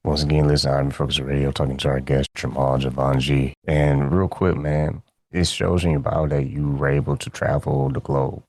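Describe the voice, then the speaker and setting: A man's voice: a bass voice, little pitch movement, moderate pace, darkish tone, no echo. A man hosting a radio interview show in a laid-back, friendly way, often calling his guest "man". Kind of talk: casual interview chat.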